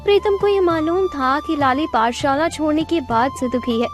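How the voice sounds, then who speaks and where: Casting: female storyteller, high voice, scripted narration, faint music bed. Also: moderate pace.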